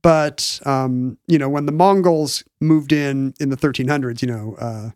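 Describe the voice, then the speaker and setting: A man's voice: mid-range voice, measured pace, a little mellow, clean tone, no room sound. Rambling man in his forties, dry sound. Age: 40s. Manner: rambling, searches for words mid-sentence. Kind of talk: casual conversational explaining.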